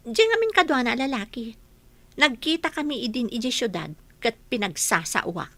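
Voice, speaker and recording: A woman's voice: fairly high pitch, sing-song, moderate pace, pushed and bright, heavily acted. A woman with an Ilocano accent playing an embarrassed, defensive young woman in a radio comedy drama. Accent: Ilocano Filipino.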